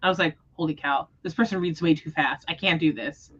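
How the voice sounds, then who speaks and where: A woman's voice: low-pitched, a little fast, a bit bright, slightly rough, highs cut off. A woman hosting a book readalong livestream, talking casually about books. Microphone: livestream microphone.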